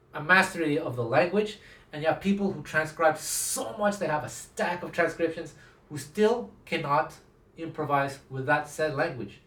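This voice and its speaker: A man's voice: fairly high pitch, fairly bright tone. A man whose first language is Taiwanese, a jazz guitar teacher talking casually to camera, thinking aloud with many fillers and restarts. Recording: echoey room, mic at a distance.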